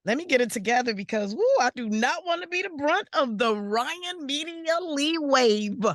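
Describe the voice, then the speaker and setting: A woman with a naturally raspy voice co-hosting a podcast, animated and exclaiming, often restarting her sentences. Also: sits high, normal speed, dry recording, clean studio sound. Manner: animated, exclaiming, self-interrupting. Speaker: podcast co-host. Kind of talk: casual podcast chat.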